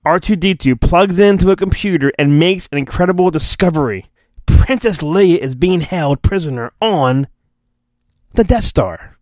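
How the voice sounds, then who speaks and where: Man, podcast host, theatrical storybook reading, sarcastic rambling asides, very lively intonation, dry close sound. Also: high-pitched, normal speed, dry recording. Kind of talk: mock-dramatic storybook reading with casual riffing.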